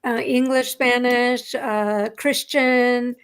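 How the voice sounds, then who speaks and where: A woman with a fairly high voice hosts a relaxed radio talk show. She backs her guest with frequent short yeses and chats easily about her own songwriting. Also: takes it slowly, clear, resonant tone. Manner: friendly, many short backchannel yeses. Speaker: radio host. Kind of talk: casual radio interview.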